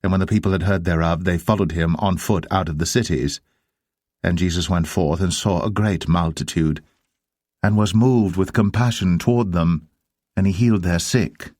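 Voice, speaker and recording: A man narrating dramatised scripture in a solemn, measured manner. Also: low voice, darkish tone, no echo, studio quality.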